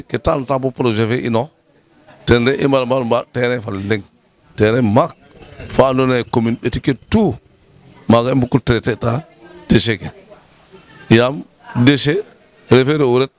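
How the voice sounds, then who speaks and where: A man speaking at a municipal meeting, presenting a project in a measured way, often repeating words for emphasis and pausing with "euh". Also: mid-range voice. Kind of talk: formal speech mixing French terms.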